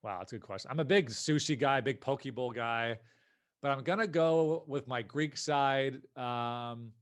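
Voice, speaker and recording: A man's voice: mid-range voice, measured pace, no echo. American man, dry close podcast sound. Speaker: podcast guest, company founder and CEO. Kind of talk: casual interview conversation.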